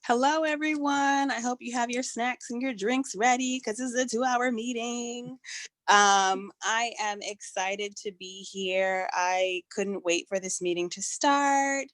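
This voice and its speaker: A woman's voice: a little high, fairly even intonation, unhurried, a bit bright, no room sound. Effusive woman on a dry video call. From Rhode Island. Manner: upbeat, effusive, repeats words for emphasis. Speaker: task force member. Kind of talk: self-introduction at a video meeting.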